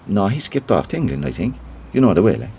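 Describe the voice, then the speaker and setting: A man's voice: deep voice, very animated, fast, mellow, slightly rough, exaggerated voice. An actor playing a gossipy, self-important small-time theatre man with an Irish Cork accent, chatty and boastful in a naturalistic audio drama.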